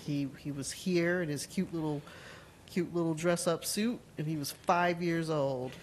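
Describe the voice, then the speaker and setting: A woman in her forties, a city council member, giving announcements at a meeting, halting with many ums and uhs. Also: low-pitched, a little hiss or hum, poor audio. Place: council chamber. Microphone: desk gooseneck mic.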